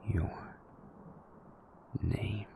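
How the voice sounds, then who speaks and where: A man's voice: extremely slow, background noise. A man voicing a cruel vampire who was once a king, taunting his captive in a menacing whisper in an audio roleplay drama. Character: cruel vampire, former male king, sadistic captor.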